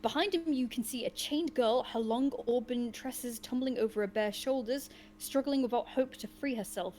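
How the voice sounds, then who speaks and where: A woman's voice: a little high, normal speed, mellow, slight background noise. A woman reading a gamebook aloud to friends as the host, with dramatic storytelling and casual asides. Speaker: game reader and host.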